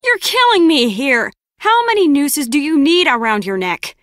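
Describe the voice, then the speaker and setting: A woman's voice: on the high side, lively intonation, measured pace, a bit bright, no room sound, clean studio sound. An actress playing a brusque, sarcastic lone-wolf female spy in a video game, with a curt, biting delivery. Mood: scornful, commanding. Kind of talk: scripted game character dialogue.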